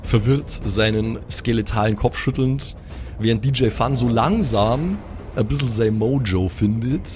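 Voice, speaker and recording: A man's voice: medium pitch, unhurried, a little mellow, slight background noise. A man improvising colloquial, dramatic narration as game master of a pen and paper audio play.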